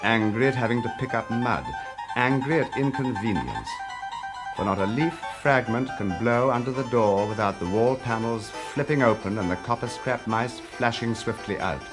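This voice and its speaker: A man narrating a scripted story in a measured, theatrical manner, with deliberate pauses. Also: mid-range voice, expressive. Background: music.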